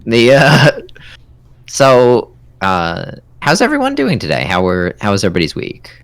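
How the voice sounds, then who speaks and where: Male podcast co-host, animated delivery, close dry sound, casual banter. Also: medium pitch, measured pace, dry recording. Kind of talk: casual live-stream chat.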